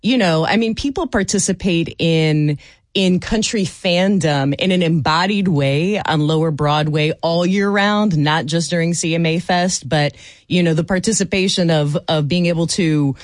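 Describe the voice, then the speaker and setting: A woman speaking as a guest on a radio panel, thoughtful and quick to laugh. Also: lowish voice, no room sound, clean studio sound. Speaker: guest expert.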